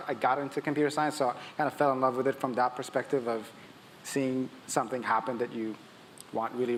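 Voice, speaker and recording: A man from Ethiopia talks conversationally as a founder on a conference panel. His voice sits at a medium pitch and he often restarts mid-thought. The sound rings in a large hall, with some noise underneath. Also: a bit bright. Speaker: tech startup founder, panel guest. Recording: echoey hall with long reverb, audible noise.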